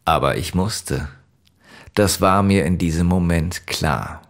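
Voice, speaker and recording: Deep voiced guy reading an audiobook story, first person, measured n kinda wistful. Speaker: audiobook narrator.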